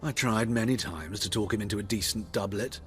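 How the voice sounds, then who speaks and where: An actor playing a flamboyant, witty cross-dressing tailor in a fantasy video game, with a theatrical, coy delivery and drawn-out phrasing. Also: male voice, lowish voice, soft background music, lightly acted. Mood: amused, frank. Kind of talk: video game dialogue acting.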